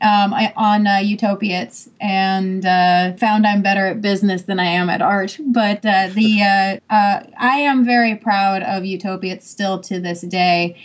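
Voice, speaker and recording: Woman publisher guest, halting and filler-prone, mid-range voice. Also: medium pitch, fairly even intonation. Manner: hesitant, filler-heavy. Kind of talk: casual panel chat.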